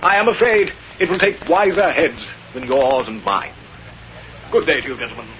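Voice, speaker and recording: An actor playing a stiff, hesitant English aristocrat in a 1930s radio drama, speaking formally and haltingly. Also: male voice, a little high, sing-song. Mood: haughty, puzzled. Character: pompous, hesitant English aristocrat, aggrieved bridegroom. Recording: muffled, noisy 1930s radio recording.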